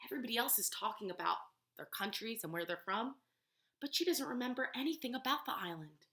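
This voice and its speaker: A woman teacher reading a storybook aloud to young children, pausing to explain words and ask questions. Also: fairly high pitch, lively intonation, pushed and bright. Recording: roomy, echoey room sound.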